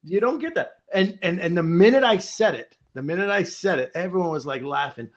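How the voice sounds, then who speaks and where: A man hosting a podcast in casual chat, his voice lively and somewhat high. He rambles through stories, piling up fillers and restarts. The sound is a little dull. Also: fairly quick. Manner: rambling storyteller, many fillers. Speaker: podcast host.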